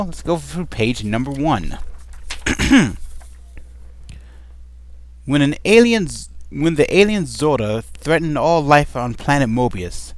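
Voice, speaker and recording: A man's voice: mid-range voice, no room sound. A man chatting casually at home, answering a list of questions with many ums and a playful, rambling manner. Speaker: vlogger answering a question tag. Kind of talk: casual chat. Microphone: desk microphone.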